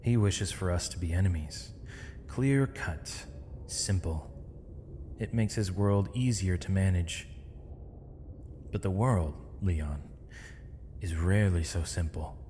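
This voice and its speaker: A man playing a gentle, weary, eloquent imprisoned mage in an ASMR roleplay, speaking in measured, formal, poetic phrases with thoughtful pauses. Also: sits low, a little hiss or hum. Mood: calm, philosophical. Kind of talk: ASMR roleplay, intimate dramatic monologue. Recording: long reverb, faint night insect ambience.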